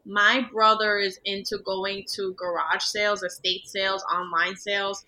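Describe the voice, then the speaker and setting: A chatty woman in her thirties, from the Dominican Republic, speaks. Her tone is bright and sharp at a middle pitch. She talks easily as a podcast guest, leaning on "you know" and "like". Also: level tone, measured pace. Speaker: guest attorney. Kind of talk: casual podcast interview.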